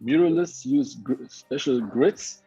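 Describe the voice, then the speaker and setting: Halting Hungarian-accented man, mellow tone. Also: medium pitch, expressive, husky, dry recording, muffled recording, soft background music. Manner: halting, searching for words, many restarts. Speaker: guest muralist. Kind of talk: casual interview chat. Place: home, remote video call.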